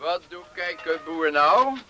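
A man's voice: a little high, expressive, slow, over music. An actor playing a nosy, disapproving male farmer neighbour, prying and critical, in a Dutch radio play.

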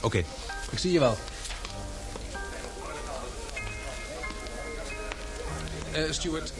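An actor playing a courteous, inquisitive man in an old-fashioned radio play, speaking in a measured, gently probing way. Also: a little high, animated, music in the background, lightly acted. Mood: polite. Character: courteous, inquisitive man caught up in murder intrigue.

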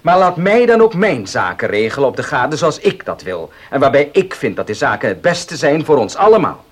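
An actor in a Dutch radio play playing a proud, domineering farmer and husband who speaks emphatically. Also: male voice, medium pitch, very animated, normal speed, husky. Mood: firm, insistent. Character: proud, domineering gentleman farmer, loving but stubborn husband.

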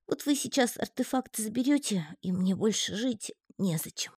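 A woman's voice: mid-range voice, big pitch swings, a little mellow, no room sound, studio quality, pitched above the performer's own voice. A woman voicing a tiny, lonely male spider who talks in a plaintive, pleading way for an audiobook. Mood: whiny. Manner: plaintive, pleading, quick to take offence. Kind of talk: audiobook reading.

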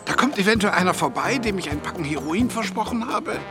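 A man's voice: high-pitched, lively intonation, fairly quick, music in the background. An actor in his sixties playing a stubborn, insistent widowed pensioner in a radio crime drama. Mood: indignant, urgent. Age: 60s. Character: stubborn widowed pensioner, bossy amateur hero.

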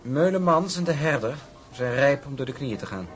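Actor as a suave, animated scheming businessman. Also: medium pitch, normal speed, over music, natural voice. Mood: smug, calculating. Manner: urbane, ironic, formal. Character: suave, scheming shipping magnate's son, charming and smug. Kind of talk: radio drama acting.

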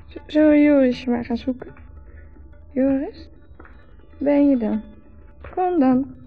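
An actress with a Netherlands Dutch accent playing a dreamy, teasing wife who rambles and repeats herself in a radio drama. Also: female voice, high-pitched, slow pace. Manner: rambling, repetitive, musing. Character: dreamy, rambling, teasing wife, drinking under a full moon. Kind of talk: 1970s radio drama acting. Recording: dull old radio recording with music.

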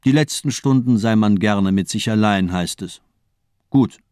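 An actor as a sinister, silkily polite doctor. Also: male voice, fairly low pitch, unhurried, a little mellow, clear, resonant tone, no room sound, studio quality, close to an everyday voice. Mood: cold, polite. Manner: mockingly polite, eloquent, controlled. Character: sinister, cultivated, sadistic male doctor toying with his captive. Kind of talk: German radio crime drama acting.

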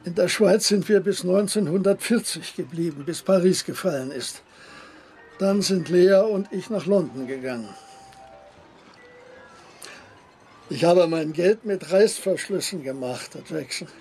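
Courteous older emigrant gentleman, high-pitched voice. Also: normal speed, soft background music. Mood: courteous, matter-of-fact. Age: 60s. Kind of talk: radio drama acting.

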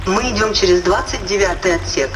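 A performer in an audio drama playing a dutiful robot squad leader with brisk military reporting. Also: medium pitch, fairly even intonation, noisy background, natural voice. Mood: dutiful, urgent. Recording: far-off comms voice over loud music.

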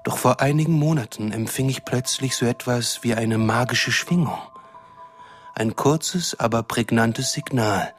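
An actor playing a cruel, condescending shapeshifter villain in a radio drama, taunting and threatening in a smooth-talking way. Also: male voice, mid-range voice, measured pace, faint music behind, close to an everyday voice. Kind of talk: German radio drama acting.

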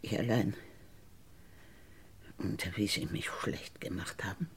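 Bitter wronged lady in a crime radio play, slow halting delivery, raspy, hushed insinuations. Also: steady tone, exaggerated voice. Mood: bitter, brooding. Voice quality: husky, gravelly low voice. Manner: deliberate, halting, insinuating, dropping into whispers. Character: bitter, wronged woman once convicted of murdering her sister. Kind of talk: German radio crime drama acting.

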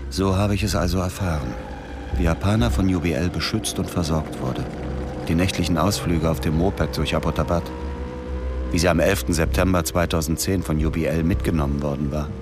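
An actor playing a grave, reflective first-person narrator in a radio drama, speaking in measured scripted lines. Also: male voice, sits low, fairly even intonation, lightly acted. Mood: brooding. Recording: loud music behind the voice.

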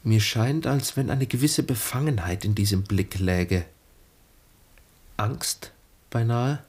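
An actor playing an earnest, wistful lieutenant of twenty-three in a 1960s German radio play, speaking formally and gently probing. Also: male voice, on the low side, slow, breathy edge. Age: young adult.

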